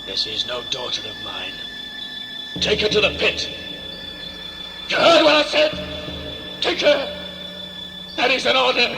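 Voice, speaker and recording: Man playing pompous old priest-tyrant, slow and declamatory. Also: very high voice, wildly swooping pitch, creaky, heavily acted. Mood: furious. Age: older. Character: old tyrannical high priest, cruel self-proclaimed holy ruler. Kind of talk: sci-fi audio play acting. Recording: echoey, loud music underneath.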